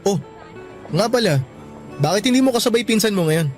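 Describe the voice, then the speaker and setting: High-voiced, expressive young Filipino man. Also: brisk, soft background music. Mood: friendly, coaxing. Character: Elias, protective young male office worker, friendly, righteous. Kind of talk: Filipino radio drama acting.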